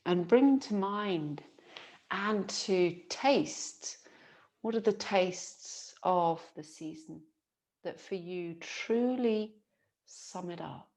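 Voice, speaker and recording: Slow, low-voiced woman guiding deep relaxation. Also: animated. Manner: soothing, unhurried, gentle with long pauses. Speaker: yoga nidra teacher. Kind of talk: guided relaxation meditation.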